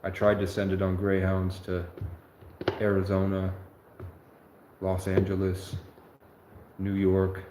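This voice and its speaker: A man's voice: low-pitched, completely flat delivery, takes it slowly, pauses often. A man in his twenties rambling earnestly on a live stream, often stopping to correct himself. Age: 20s. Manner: meandering, self-correcting, earnest. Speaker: livestreamer. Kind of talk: rambling livestream monologue. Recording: echoey room with faint noise.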